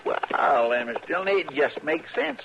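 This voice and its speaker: A folksy cowboy deputy with a high, very creaky voice and a rural Western drawl. He is querulous yet eager to please, swinging animatedly through his lines. It is an old radio drama with slightly muffled sound. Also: big pitch swings, unhurried, exaggerated voice. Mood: complaining. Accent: rural Western American drawl. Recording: dull, faintly noisy old radio recording.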